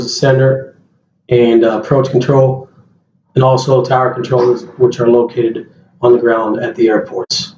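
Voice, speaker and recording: A man with a medium-pitched voice presents an informational briefing in plain words, speaking directly to his audience. The recording is roomy and somewhat dull and lo-fi, with faint noise underneath. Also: darkish tone. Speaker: air traffic controller giving a briefing.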